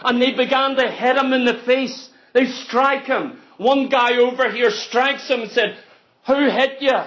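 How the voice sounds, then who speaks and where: Very high-pitched man preaching emphatically. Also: fairly even intonation, measured pace, fairly bright tone. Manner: emphatic, repetitive exhortation; dramatic storytelling. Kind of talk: impassioned sermon. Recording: dull, lo-fi sermon recording.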